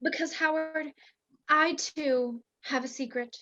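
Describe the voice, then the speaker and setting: Radio soap parody heroine played by a woman: high-pitched, melodramatic, besotted and secretive; muffled lo-fi sound. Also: fairly even intonation, takes it slowly, pushed and bright, slight background noise, heavily acted. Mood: confessing. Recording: dull lo-fi recording.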